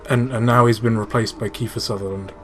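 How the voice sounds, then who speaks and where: A man's voice: on the low side, steady tone, fast talker, soft background music. A man hosting casual gaming commentary, swearing freely and explaining things with enthusiasm. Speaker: host playing and explaining a video game. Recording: clearly noisy room recording.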